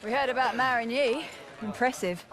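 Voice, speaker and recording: A woman's voice: fairly high pitch, normal speed, a bit bright, natural voice. An actress playing a cool, seasoned female special agent, matter-of-fact in a war game. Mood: cool, assured.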